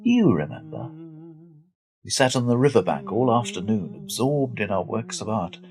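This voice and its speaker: An actor playing a genial, earnest visiting gentleman in a period radio drama, polite and coaxing in manner. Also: on the low side, very animated, normal speed.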